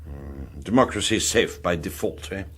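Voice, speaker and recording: An actor playing an urbane, arch intelligence agent with an upper-class English accent and a droll, mannered delivery in a radio drama. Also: male voice, low-pitched, animated, normal speed, fairly bright tone, husky, exaggerated voice. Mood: arch, amused. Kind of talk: British radio drama acting.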